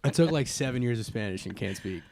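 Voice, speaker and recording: A young man co-hosting a fitness podcast, loud and jokey, his voice deeper than usual as he gets over a cold. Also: lively intonation, fast, crowd noise. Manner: loud, bro-ish, joking. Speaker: podcast co-host, fitness coach. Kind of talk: casual chat.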